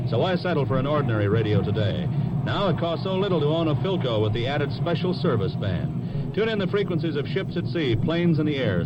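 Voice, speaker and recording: American radio announcer, muffled and noisy. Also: male voice, on the high side. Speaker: radio sports commentator and sponsor announcer. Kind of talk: 1950s radio sports commentary and ad reads. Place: outdoor football stadium.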